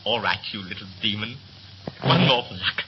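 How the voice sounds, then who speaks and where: An actor playing a brisk, bossy young ship's officer in a 1930s radio play, with a clipped, commanding, theatrical manner. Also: male voice, fairly low pitch, close to an everyday voice. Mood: fond, hurried. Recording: muffled, noisy 1930s radio recording.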